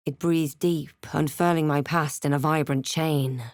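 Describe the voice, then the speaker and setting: A woman voicing a wary, composed adventurer heroine for a fantasy video game, with measured, dramatic delivery. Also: low voice, steady tone, darkish tone, dry recording, studio quality. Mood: wry, measured.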